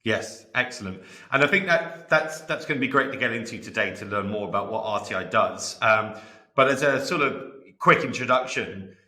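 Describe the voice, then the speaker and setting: A man's voice: on the low side, lively intonation, normal speed, pushed and bright, roomy sound. A man hosting a podcast, speaking as presenter and interviewer. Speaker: podcast host. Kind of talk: scripted podcast intro and interview.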